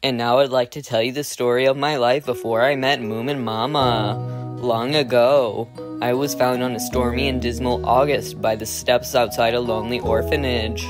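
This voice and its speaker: A man voicing an ailing, middle-aged cartoon troll father who reminisces slowly and dramatically. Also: medium pitch, steady tone, music in the background, lightly acted. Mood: nostalgic narrating. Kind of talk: cartoon fandub, storytelling.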